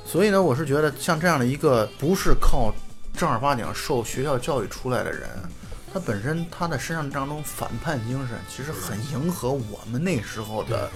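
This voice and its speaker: A middle-aged man co-hosting a podcast, chatting casually and off the cuff in an animated, opinionated way. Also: medium pitch, brisk, over music. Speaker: podcast host. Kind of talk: casual unscripted podcast chat.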